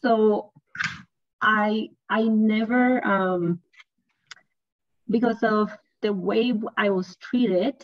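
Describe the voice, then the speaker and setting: A woman's voice: mid-range voice, steady tone, extremely slow, long pauses, no echo. An earnest woman whose first language is Spanish, speaking on a video call and repeating herself for emphasis. Her audio is dull and dry. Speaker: parent engagement advocate.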